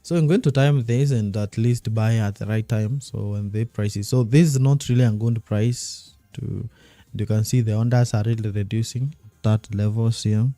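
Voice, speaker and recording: A man streaming live trading commentary, thinking aloud and repeating himself while he watches the charts, his voice going a little hoarse. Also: lowish voice, steady tone, normal speed, dark tone, no room sound, faint music behind. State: losing his voice.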